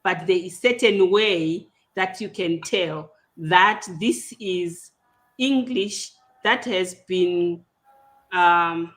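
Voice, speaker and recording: A woman with a Zimbabwean accent, a native Shona speaker, hosting an online panel in a deliberate, explanatory, conversational way. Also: lowish voice, fairly bright tone, soft background music. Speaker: podcast host. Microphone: video call.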